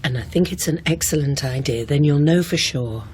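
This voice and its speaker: Middle-aged wife played by an actress, very low female voice, polite and measured, radio drama. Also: darkish tone, a little hiss or hum, lightly acted. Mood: composed. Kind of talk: naturalistic radio drama acting.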